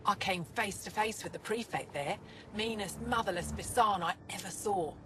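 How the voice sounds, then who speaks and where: An actress playing a cheeky, eager alien lookout soldier in a video game, chatty in manner. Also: female voice, medium pitch, normal speed, close to an everyday voice. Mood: forthright. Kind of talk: dramatic game dialogue. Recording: clear ambient noise behind.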